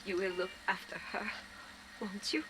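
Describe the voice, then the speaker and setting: Lady doing a determined young woman, soft and slow, kinda whispery. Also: mid-range voice, background noise, lightly acted. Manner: soft, often half-whispered.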